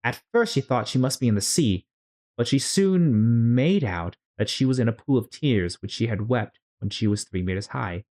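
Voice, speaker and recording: A man reading a children's story aloud as host, in an expressive, theatrical way. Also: mid-range voice, normal speed.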